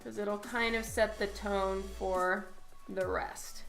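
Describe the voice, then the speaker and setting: A woman streaming her digital painting, hoarse from a cold with her voice half gone, chatting casually with viewers and laughing often. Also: mid-range voice, fairly even intonation, takes it slowly, a bit bright, soft background music. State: hoarse from a cold, voice partly lost. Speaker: digital comic artist streaming. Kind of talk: casual livestream commentary while painting.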